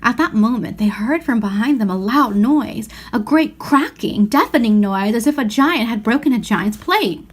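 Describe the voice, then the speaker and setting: A woman reading a children's novel aloud as expressive narration. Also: fairly high pitch, moderate pace, few pauses, breathy edge. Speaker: storybook reader.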